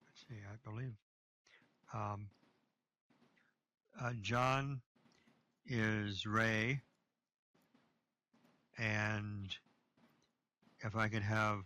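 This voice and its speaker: A man organizing a script reading, speaking hesitantly and pausing to find his place. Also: lowish voice, little pitch movement, sharp tone. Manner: hesitant, many fillers. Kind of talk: casual chat.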